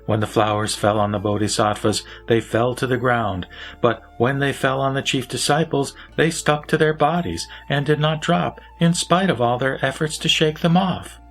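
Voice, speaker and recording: A man's voice: mid-range voice, moderate pace, a bit bright, faint music behind. A man narrating scripture from a script in a solemn, formal manner.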